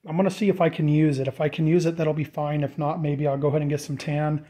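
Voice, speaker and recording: A man giving a casual, off-the-cuff walkthrough of a DIY project, informal and thinking out loud as he goes. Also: a little high, level tone, fast talker. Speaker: DIY vlogger presenting a build.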